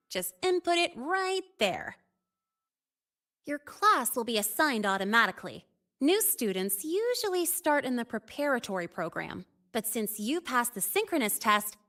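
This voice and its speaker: A woman's voice: sits high, big pitch swings, measured pace, sharp tone, full character voice. A woman voicing a breezy, eager young female student in an anime-style video game, perky and casual. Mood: cheerful, guiding. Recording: music behind.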